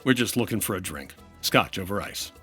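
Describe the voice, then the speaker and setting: A man's voice: low voice, lively intonation, a little fast, pushed and bright, husky, soft background music, full character voice. A man playing a dry, businesslike insurance investigator in old-time radio detective style, clipped, wry and matter-of-fact. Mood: wry, casual. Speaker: actor in a comedy audio drama.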